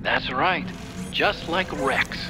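An actor playing a nerdy engineer giving a technical mission briefing over a radio link in a video game. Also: male voice, on the high side, slow pace, music in the background. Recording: game audio with steady noise.

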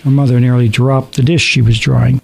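A man's voice: mid-range voice, level tone, brisk, vocal fry, darkish tone. A man narrating a radio play as scripted, dramatic storytelling. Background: background music.

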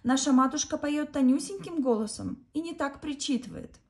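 A woman voicing wary little goat kids in a storybook, shrill and insistent. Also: moderate pace, a little mellow.

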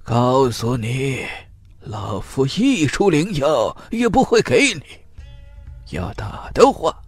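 A man's voice: medium pitch, sing-song, slow, slightly rough, no echo, soft background music, pitched above the performer's own voice, full character voice. A man voicing a hot-tempered, proud old cultivator in an audiobook, scornful and given to incredulous outbursts. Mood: furious defiant. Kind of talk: audiobook character acting.

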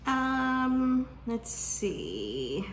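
A woman in her forties with a drawl talks slowly and playfully, stretching her questions and pausing to let them sink in. Her voice sits a little high. The sound comes from a camera across a table in a roomy, echoing kitchen. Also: wildly swooping pitch, slight background noise. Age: 40s. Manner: chatty, teasing, drawn-out questions with pauses. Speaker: book vlogger. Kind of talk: casual chat to camera. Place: home kitchen, indoors. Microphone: camera at desk distance.

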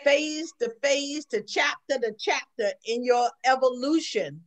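A woman in her sixties with a high voice, talking over a video call from home. She speaks emphatically, like a sermon, and keeps checking in with "okay?". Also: a little slow. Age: 60s. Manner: preachy, emphatic, repeats "okay?". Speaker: guest. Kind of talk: casual chat on a video call. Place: home room.

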